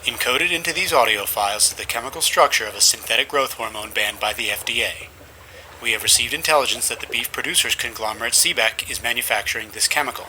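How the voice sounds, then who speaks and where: An actor playing a formal mission briefing voice in a spy spoof, measured and dramatic. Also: male voice, medium pitch, slight background noise, rough recording. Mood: official, portentous. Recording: loud music behind.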